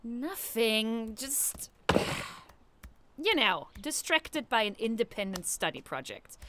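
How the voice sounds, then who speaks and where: Expressive, high-pitched scheming young girl cadet by a woman. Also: slow pace, noisy background. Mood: evasive. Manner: wheedling, expressive. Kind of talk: animated fandub comic voice acting.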